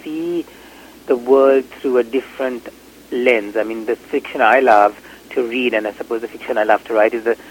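A man's voice: medium pitch, steady tone, moderate pace, a little hiss or hum, low-quality recording. A man from Sri Lanka, a novelist, answering interview questions in a reflective, hesitant way with many fillers and restarts.

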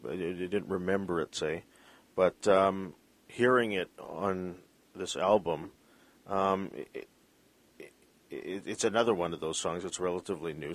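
A male interview host who asks his questions hesitantly, with many restarts and fillers. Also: sits low. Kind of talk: conversational interview.

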